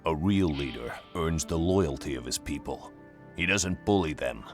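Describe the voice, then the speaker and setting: Very deep, sardonic fighter. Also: male voice, lively intonation, moderate pace, over music. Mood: defiant. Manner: dry, sarcastic. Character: gruff, sardonic, blunt fighting man. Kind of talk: video game cutscene acting.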